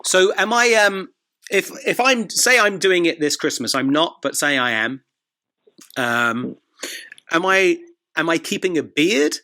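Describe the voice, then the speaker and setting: A man's voice: a little high, lively intonation, measured pace, bright, forceful tone. A man hosting an interview, asking questions with brief prompts and the odd dry quip. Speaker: interviewer, host.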